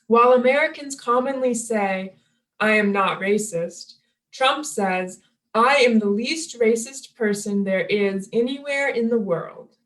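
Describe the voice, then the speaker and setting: Woman reading an essay aloud, average pitch, sounds a bit far from the mic. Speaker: reader at a table reading. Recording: distant from the microphone.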